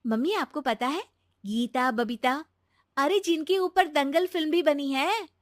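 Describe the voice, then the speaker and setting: A woman voices a confident young wife in a cartoon family drama. Her fairly high voice reasons earnestly and persuades gently, recorded dry and close. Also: a little slow, dry recording. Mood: encouraging. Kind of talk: Hindi cartoon story dubbing.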